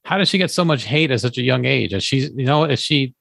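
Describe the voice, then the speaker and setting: A man's voice: mid-range voice, animated, fast talker, vocal fry, a bit bright, dry recording. A man co-hosting a podcast, teasing his partner with dry humor and many questions. His first language is Farsi. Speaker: podcast co-host. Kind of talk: casual chat.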